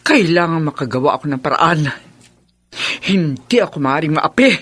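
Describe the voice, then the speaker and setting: An actress playing a fiery, grasping mother and stepmother in a Filipino radio drama, sharp and melodramatic. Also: sits low, animated, moderate pace, husky. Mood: scheming.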